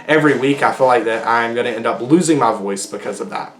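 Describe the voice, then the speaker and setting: A man hosting his own casual solo podcast, rambling and chatty with lots of ums and restarts, his voice going hoarse. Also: mid-range voice, fast, reverberant. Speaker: podcast host.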